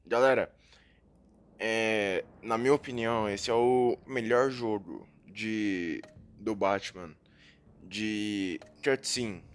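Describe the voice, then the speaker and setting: Fairly low-voiced Brazilian man, casual gamer. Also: slow, smooth. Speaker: gaming video host. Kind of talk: casual gameplay commentary.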